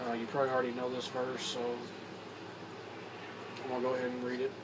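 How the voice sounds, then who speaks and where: A young man from Kentucky with a Southern accent, a bit hoarse from a cold, rambling in a casual talk to camera with many restarts and fillers. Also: mid-range voice, monotone. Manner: rambling, halting with repeats and fillers. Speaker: vlogger. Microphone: phone or camera mic. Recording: distant camera mic with background noise.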